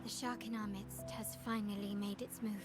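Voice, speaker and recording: An actress playing a refined, guarded young heiress in a video game, speaking in an elegant, formal and composed manner. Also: female voice, mid-range voice, little pitch movement, normal speed, natural voice. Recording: music behind the voice. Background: loud game music.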